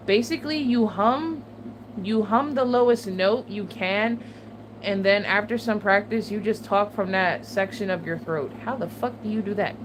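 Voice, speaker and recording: A woman vlogger chatting casually to her viewers, swearing often and laughing between remarks. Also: medium pitch. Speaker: channel host. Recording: steady background noise.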